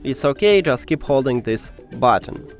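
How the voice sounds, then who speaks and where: A man narrating a step-by-step tech tutorial in informal, slightly non-native English phrasing, pausing hesitantly as he explains. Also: medium pitch, expressive, faint music behind.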